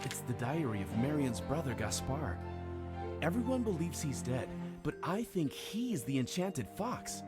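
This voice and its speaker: An actor playing an earnest, adventurous hero on a quest in a scripted video game. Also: male voice, on the high side, very animated, moderate pace, over loud music. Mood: pondering. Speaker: player character.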